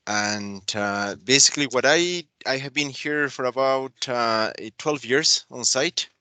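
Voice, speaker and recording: Peruvian-accented engineer, earnest and halting; bright voice, dry close mic. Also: male voice, medium pitch, clean tone, no room sound. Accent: Peruvian Spanish. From Peru. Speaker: process control engineer. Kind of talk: webinar self-introduction.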